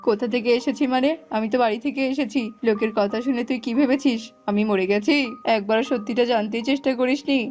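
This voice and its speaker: Woman playing sorrowful jackal father, fairly high voice, tender, exclamatory, cartoon acting, muffled recording. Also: fast, few pauses, darkish tone, natural voice. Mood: earnest. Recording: muffled, music underneath.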